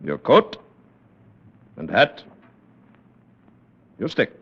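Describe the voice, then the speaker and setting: An actor playing a sinister club president with measured, menacing courtesy, in 1940s radio drama style. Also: male voice, medium pitch, huge pitch swings, vocal fry, breathy edge, full character voice. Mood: coldly menacing. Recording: muffled, noisy old radio recording.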